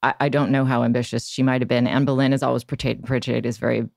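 A woman's voice: a bass voice, little pitch movement, quick pace, gravelly, a little mellow, no echo, clean studio sound. A woman hosting a podcast, musing casually and a little tentatively in conversation. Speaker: podcast host.

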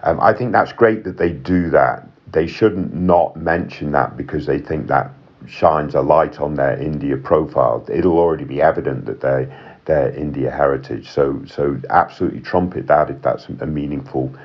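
A man co-hosting an advisory podcast, speaking in a measured way with frequent ums and restarts. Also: a bass voice, breathy edge, lo-fi sound. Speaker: admissions consultant co-host.

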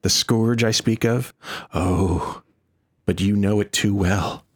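A man's voice: on the low side, steady tone, slow. A man hosting a podcast in a playful way, sometimes slipping into mock-dramatic speech. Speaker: podcast host. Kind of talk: casual podcast chat.

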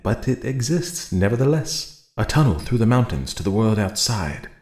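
A man voicing a young male gaoler in a storybook reading, talking in a measured, quietly calculating way. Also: fairly low pitch, sing-song, roomy sound, voice pushed up. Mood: cautious.

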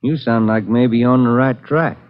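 A man's voice: medium pitch, moderate pace, lightly acted. An actor playing a weary family-man police detective, easygoing and grumbling, in a 1940s radio drama. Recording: dull 1940s radio broadcast.

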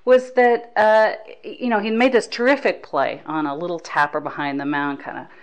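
A woman's voice: fairly low pitch, steady tone, moderate pace. An American woman hosting a conversational baseball podcast interview in a chatty, enthusiastic manner. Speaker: podcast host, baseball beat writer.

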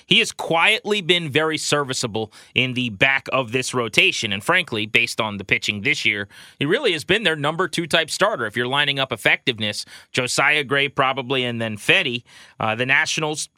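A man hosting a sports podcast, talking baseball in an energetic show style. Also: mid-range voice, normal speed, no echo, studio quality.

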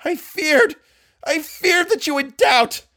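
A man voicing a tormented, disfigured archaeologist whose voice turns shrill and frantic. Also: expressive, slow, sharp tone, full character voice. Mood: hysterical. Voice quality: shrill, rising to shrieks. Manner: frantic, anguished pleading.

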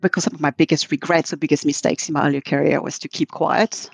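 A woman's voice: low-pitched, fairly even intonation, vocal fry, slightly rough, no room sound. Thoughtful middle-aged woman, non-native English speaker. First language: not English. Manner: thoughtful, halting with frequent restarts. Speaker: guest, marketing executive. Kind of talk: podcast interview.

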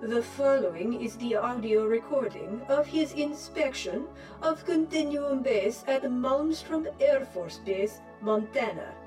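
A woman playing a calm, literal ship's computer in an audio drama, speaking formally and precisely. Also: a little high, unhurried, music in the background, close to an everyday voice, poor audio. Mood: formal announcing.

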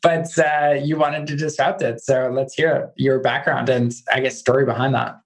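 A man's voice: mid-range voice, little pitch movement, normal speed. A man hosting a podcast, chatting casually and saying "like" often. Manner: chatty, many "like" fillers. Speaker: podcast host. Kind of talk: casual interview chat.

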